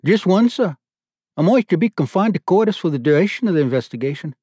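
A man doing a crisp young Australian-accented lieutenant. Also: high-pitched, lively intonation, fast talker, dark tone, no echo, clean studio sound, pitched above the performer's own voice. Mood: respectful. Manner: crisp and respectful, answers with "sir".

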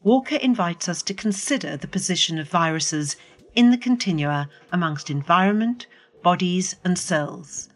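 Lowish-voiced woman introducing a podcast.

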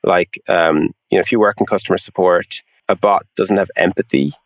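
A man's voice: low voice, moderate pace, no echo, lo-fi sound. A man being interviewed, explaining things in an informal, conversational way with a lot of filler phrases like "you know". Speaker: interview guest, tech product executive.

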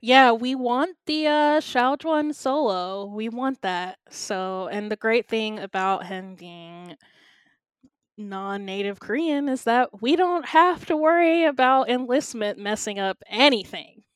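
A young woman co-hosts a podcast in a casual, upbeat way, handing out shout-outs and plugs. Her voice is smooth and clear and sits a little high. The sound is dry and close to the mic. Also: expressive, slow pace, dry recording. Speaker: podcast co-host. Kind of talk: casual chat.

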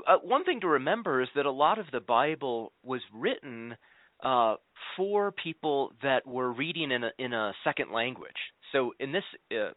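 A man's voice: medium pitch, no room sound, narrow, tinny audio. A man explaining as a guest scholar in an interview, in a teacherly way, often checking in with "right?". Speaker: guest scholar, professor of scripture.

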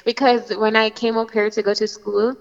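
A woman's voice: fairly high pitch, flat delivery, normal speed, in a large hall, lo-fi sound. A young Jamaican woman with a slight American influence, a singer talking casually and animatedly in an interview with many fillers and restarts. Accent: Jamaican, with some American influence. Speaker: dancehall singer, guest.

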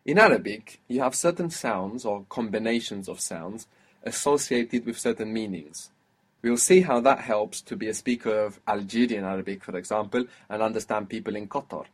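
A man teaching a one-on-one language lesson, patiently explaining and spelling out sounds slowly. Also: on the low side, slightly rough. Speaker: Arabic teacher.

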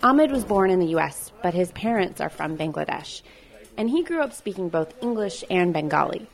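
A woman reporter narrates a radio news feature in a clear, measured manner. Her voice sits a little low for a woman. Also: sing-song. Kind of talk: news report with field interviews.

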